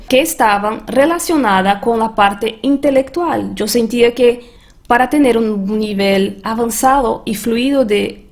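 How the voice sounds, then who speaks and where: A woman's voice: a little high, a little mellow. A woman from Brazil, a native Portuguese speaker with a Brazilian accent, giving an earnest online master class as a language coach. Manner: earnest, reflective first-person storytelling.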